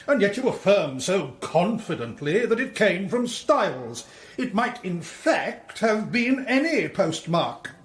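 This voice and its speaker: An actor playing a pompous, relentless English barrister in a radio drama, cross-examining in an imperious, precise, theatrically deliberate way. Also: male voice, high-pitched, big pitch swings, exaggerated voice. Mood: sardonic. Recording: roomy courtroom-like echo.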